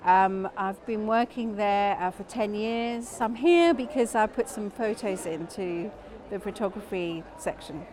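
A woman lecturer calmly explaining her photography work in a short interview. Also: medium pitch.

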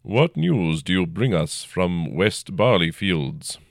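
A man doing a deep, rumbling, jovial king. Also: very animated, vocal fry, no room sound, studio quality, exaggerated voice. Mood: regal. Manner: slow, rumbling, regal.

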